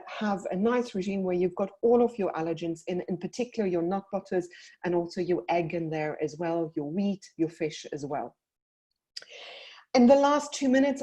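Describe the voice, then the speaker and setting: A woman's voice: fairly low pitch, dark tone. South African woman giving a webinar talk. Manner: brisk, explanatory, advising parents directly. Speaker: paediatric dietitian presenting a webinar. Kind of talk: webinar presentation with slides.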